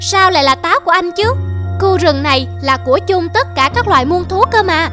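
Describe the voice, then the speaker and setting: A sly, polite cartoon parrot, played by a woman, speaking very high and coaxing. Loud music runs beneath. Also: expressive, fairly quick. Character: clever calm parrot tricking a bully.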